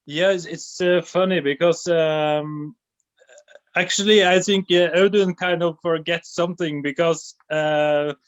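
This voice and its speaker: A man's voice: fairly high pitch, measured pace, dry recording. Upbeat man, dry close sound. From Norway. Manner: animated storyteller, repeats words when excited. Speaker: documentary director. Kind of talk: casual interview storytelling over video call. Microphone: phone or laptop mic on a video call.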